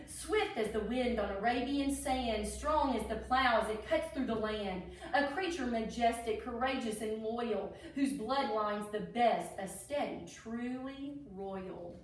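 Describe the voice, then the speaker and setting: Slow-paced woman reading to children, echoey room. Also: fairly high pitch. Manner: addresses children, enthusiastic asides. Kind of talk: children's picture book read-aloud with asides. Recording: echoey room, distant microphone.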